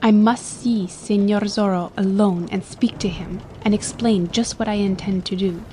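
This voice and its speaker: A woman's voice: medium pitch, steady tone, normal speed, mellow, slight background noise, close to an everyday voice, low-quality recording. A woman playing a proud, defiant young noblewoman in a dramatic audiobook, speaking with formal, impassioned dignity. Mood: firm, resolute. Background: ambience under the voice.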